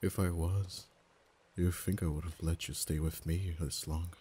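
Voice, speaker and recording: A man voicing an ancient, proud, possessive male dragon in an ASMR roleplay, speaking softly and slowly, sometimes in whispers. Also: level tone, husky. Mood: musing. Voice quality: very deep, soft-spoken. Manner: slow, measured, drops to whispers.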